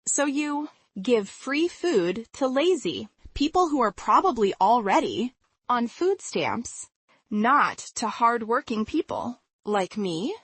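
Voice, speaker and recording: Woman acting angry female bakery customer, entitled, accusing, high animated voice, story narration. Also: slow pace, breathy edge, no room sound, full character voice. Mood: outraged. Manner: indignant, accusing.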